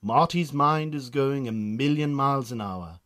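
A man's voice: mid-range voice, a little slow, fairly bright tone. A man with a Northern Irish accent narrating a scripted radio drama.

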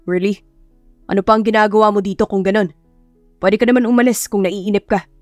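A woman's voice: medium pitch, steady tone, brisk, dry recording, faint music behind, natural voice. A woman voicing a curt, protective young male executive with a blunt, commanding manner in an audiobook. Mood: cold, rude. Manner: blunt, commanding, switches into English. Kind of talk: dramatised audiobook dialogue.

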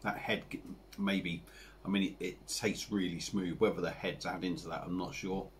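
A man in his forties with an English accent, chatting casually to camera as he reviews a beer at his home bar, rambling and relaxed with lots of fillers. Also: low-pitched, normal speed, a little hiss or hum. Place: home bar room with dartboard and signs.

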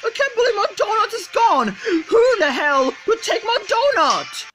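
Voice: sits high, expressive, a little slow, sharp tone, heavily acted. A performer voicing a hot-tempered, whiny, foul-mouthed puppet in a comic skit, repeating exclamations indignantly. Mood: frantic, outraged.

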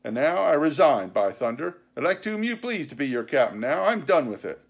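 A wily old pirate ringleader played by a male narrator blusters through a sea adventure story in rough sailors' dialect. He is scornful and commanding, pitched up and louder than plain narration. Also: very animated, fairly quick, full character voice. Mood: indignant. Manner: scornful, rustic seafaring dialect.

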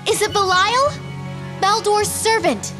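A woman's voice: very high voice, slow pace, music in the background. A woman voicing a girlish young fairy queen, earnest, delicate and hesitant, in a fantasy video game. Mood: alarmed. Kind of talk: scripted video game voice acting.

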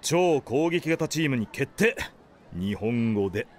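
Sensible teenage captain, lively intonation. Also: male voice, mid-range voice, takes it slowly. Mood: exasperated. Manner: deadpan retorts, scolding. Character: sensible, dry teenage male team captain. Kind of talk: Japanese anime radio drama acting.

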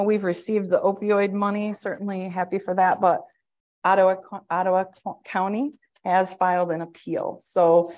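A woman in her thirties with a loud voice, giving an administrator's report at a county board work session over a video call, talking conversationally with many fillers and restarts. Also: mid-range voice. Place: indoor office, joining a hybrid meeting. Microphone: webcam on a video call.

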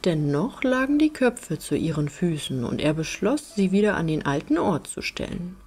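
Expressive, husky woman storyteller. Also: fairly low pitch, normal speed. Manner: expressive storytelling with careful phrasing. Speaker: audiobook narrator. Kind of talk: scripted fairytale narration.

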